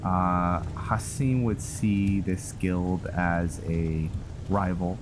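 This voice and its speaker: A man's voice: deep voice, fairly even intonation, extremely slow, noisy background. A man giving casual, musing commentary over his roleplay playthrough, rambling and talking directly to his viewers. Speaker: gaming channel host. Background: game music.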